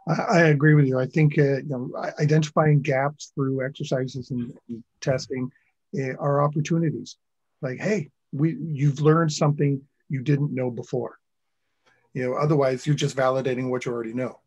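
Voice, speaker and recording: Male interview host, husky, easygoing rambling prompts. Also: mid-range voice, steady tone, normal speed, darkish tone, lo-fi sound. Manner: loose, rambling prompts with fillers. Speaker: podcast host. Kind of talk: conversational interview hosting.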